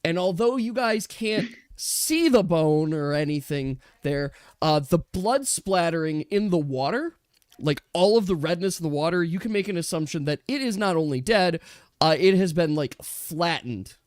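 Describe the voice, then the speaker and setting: Higher-voiced man running a tabletop game. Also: expressive, moderate pace, no echo, studio quality. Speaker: game master and streamer. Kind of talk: casual game narration on a livestream. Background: music.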